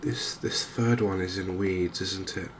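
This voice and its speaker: A soft-spoken man with an English accent giving unhurried, hesitant ASMR commentary over a video game. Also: sits low, fairly even intonation, noisy background. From England. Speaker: gaming video host.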